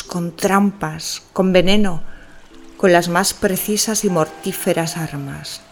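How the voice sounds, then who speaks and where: A woman playing an obsessive, grieving middle-aged mother in an audio fiction monologue, speaking to her son in a hushed, intimate, theatrical manner. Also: fairly low pitch. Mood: possessive, anguished.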